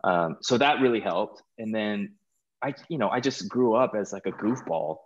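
A middle-aged American man chatting casually as an interview guest over a video call, informal and halting, often repeating words. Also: fairly low pitch, lively intonation, dull audio, a little hiss or hum. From California, United States. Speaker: guest, stage performer. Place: small plain room indoors, video call.

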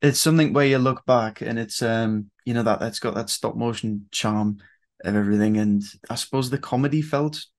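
A man's voice: mid-range voice, moderate pace. A British man in his twenties, chatting casually as an interviewer. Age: 20s. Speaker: interviewer, host. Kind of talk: casual chat. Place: bedroom, indoors on a video call.

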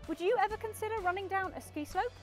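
A young British woman in her twenties talks quickly and brightly about the race she just ran, her voice pitched high. She is recorded on a lapel mic on an outdoor mountain terrace, with faint music underneath. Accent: British English. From Britain. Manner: bubbly and enthusiastic. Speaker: presenter and runner. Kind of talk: post-race interview chat.

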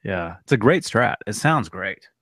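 A man in his thirties, a guest talking in relaxed, halting bursts on a studio mic with headphones over a video call. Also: fairly low pitch, no echo. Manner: relaxed, halting, repeats words. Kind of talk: casual chat.

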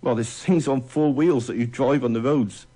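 Mellow man, medium pitch, being interviewed. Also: normal speed. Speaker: radio interviewee. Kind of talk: casual explanation in an interview.

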